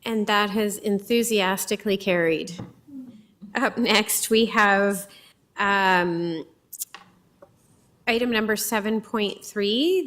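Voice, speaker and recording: A woman chairs a board meeting, calling each trustee by name and asking for their vote. Her delivery is brisk and formal, with a light touch of amusement. Her voice sits at an ordinary pitch for a woman. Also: fairly bright tone.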